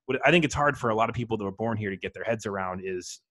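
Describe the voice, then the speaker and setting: A man hosts a casual podcast interview in a conversational way. His voice is on the low side. He asks open questions and drops jokey asides between them. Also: very fast, fairly bright tone. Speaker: podcast host.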